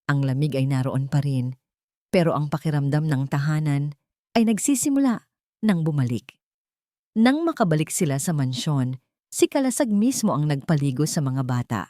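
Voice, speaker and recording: A woman's voice: low-pitched, animated, moderate pace, warm tone, dry recording, studio quality. A woman reading a dramatic scripted story as an audiobook narrator.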